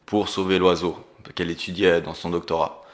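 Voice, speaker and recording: Deep, flat-voiced man. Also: a little fast. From Geneva, raised in Brazil. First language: Portuguese. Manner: hesitant, many fillers, earnest. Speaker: guest, entrepreneur and coach. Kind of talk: casual interview storytelling.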